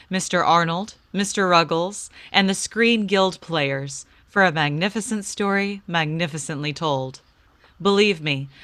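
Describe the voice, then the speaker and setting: A woman's voice: lowish voice, pushed and bright, no echo. A woman announcer reading scripted credits in a formal, proclaiming 1950s network radio style.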